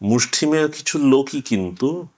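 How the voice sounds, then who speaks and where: A man interviewing someone, making his argument conversationally and haltingly, in short fragments. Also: mid-range voice, lively intonation, a little mellow.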